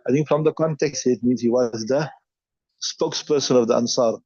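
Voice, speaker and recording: A man's voice: mid-range voice, normal speed, warm tone, breathy edge, dry recording, lo-fi sound. A man teaching a hadith class, reading each line aloud and then explaining it step by step.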